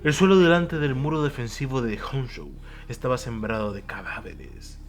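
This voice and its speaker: A man's voice: medium pitch, expressive, normal speed, slight background noise. A man giving a solemn, theatrical scripted narration, as in a dramatised audiobook. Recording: music bed behind the voice. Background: music.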